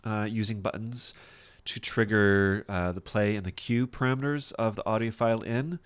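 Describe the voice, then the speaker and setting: A man teaching software in a casual screen-share tutorial, thinking aloud informally as he demonstrates each step. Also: fairly low pitch, steady tone, normal speed, clean tone. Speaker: software instructor.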